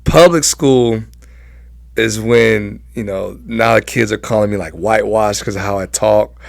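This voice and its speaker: Young man, American accent, low pitch, gravelly fry, casual slangy storyteller, close dry sound. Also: unhurried, no room sound. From Southern California, United States. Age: young adult. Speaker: interview guest.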